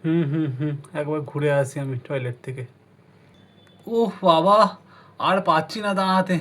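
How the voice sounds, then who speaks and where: A man's voice: fairly high pitch, fairly even intonation, normal speed, a bit bright, natural voice. A man voicing a whiny husband groaning from an upset stomach in a doll story. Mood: groaning, urgent. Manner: whiny, repeats words hurriedly. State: groaning in pain. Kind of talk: amateur doll-story voice acting.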